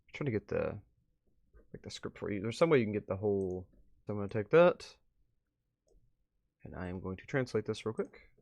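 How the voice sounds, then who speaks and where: A man with a fairly low, gravelly voice is streaming a game. He reads text aloud in a measured way, then slips into casual, muttered asides to his viewers. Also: very animated, darkish tone. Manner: drifts between measured reading and offhand muttered commentary.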